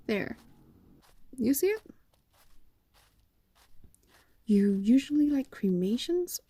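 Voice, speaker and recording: Woman acting a breathy, slow-talking possessive fox demoness. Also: on the high side, dry recording, full character voice. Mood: teasing, coaxing. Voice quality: soft, breathy. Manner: slow, coaxing, drifting into breathy whispers. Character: possessive doting female fox demon, coaxing and teasing. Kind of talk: intimate roleplay audio drama, ASMR-like.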